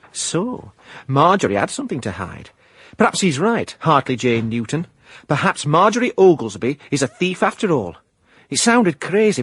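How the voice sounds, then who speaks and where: An actor with a Yorkshire accent playing an eager, defensive novice private eye, husky, wry and deadpan, in a radio drama. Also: male voice, mid-range voice, no room sound, studio quality. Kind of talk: radio drama dialogue and narration.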